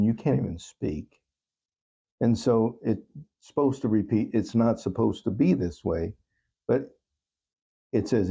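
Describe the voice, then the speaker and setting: A man's voice: fairly low pitch, vocal fry, warm tone, husky. A man speaking earnestly as an interview guest, reflecting on his songwriting and repeating phrases for emphasis. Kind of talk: reflective interview talk about own songwriting.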